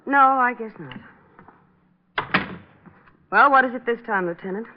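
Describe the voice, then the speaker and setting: An actress playing a defensive, evasive widow under suspicion, in old radio crime drama style. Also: female voice, a little high, a little slow, background noise, lightly acted. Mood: wary, incredulous. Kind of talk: 1940s-50s radio drama acting. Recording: dull old radio recording.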